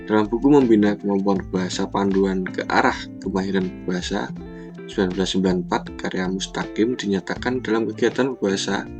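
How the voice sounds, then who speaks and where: Deep-voiced Javanese young man, halting. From Yogyakarta. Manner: halting, with pauses and fillers. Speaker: university student presenter. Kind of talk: explaining class material.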